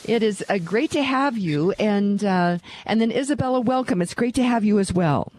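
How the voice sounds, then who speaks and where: A woman's voice: medium pitch, lively intonation, normal speed, a little mellow, no room sound. A woman hosting her own talk radio show. She is earnest and emphatic and repeats her key phrases.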